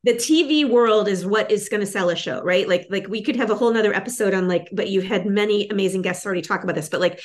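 A woman hosting and giving enthusiastic, rambling feedback on a pitch with many fillers. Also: quick pace, few pauses. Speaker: host and pitch advisor. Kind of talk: casual feedback chat.

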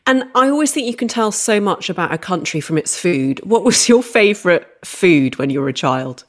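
A woman hosting a podcast, asking her guest friendly questions in a gentle, encouraging way. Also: fairly low pitch, lively intonation, moderate pace. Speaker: podcast host.

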